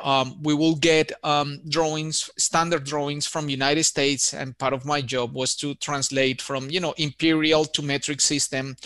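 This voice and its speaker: A man's voice: on the high side, fairly bright tone, no echo. Upbeat Colombian-accented man, dry sound. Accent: Colombian Spanish. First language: Spanish. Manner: friendly, enthusiastic, says man and you know often. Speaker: architect and podcast guest. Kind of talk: casual interview storytelling.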